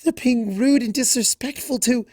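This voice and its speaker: Shrill, upset young pony acted by one reader. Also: female voice, normal speed, no echo, clean studio sound, close to an everyday voice. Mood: angry pleading. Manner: shrill, pleading, emphatic shouting. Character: shy young female pegasus pony, upset and fiercely protective.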